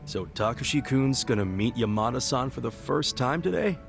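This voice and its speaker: Male street-smart sidekick, game cutscene; expressive, skeptical, dry wit and plain slangy talk. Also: medium pitch, normal speed, creaky, soft background music. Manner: casual, blunt, wry. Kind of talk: video game cutscene dialogue.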